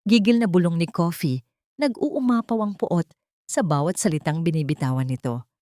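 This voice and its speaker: A woman narrating a scripted dramatic story with solemn, deliberate phrasing. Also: fairly low pitch, expressive, warm tone, no echo, studio quality.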